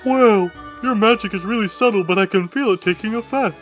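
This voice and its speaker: A man's voice: high voice, a little fast, fairly bright tone, faint music behind, pitched above the performer's own voice. A man voicing a perky, gullible scarecrow in a fantasy tabletop game, speaking eagerly and earnestly. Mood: perky, impressed.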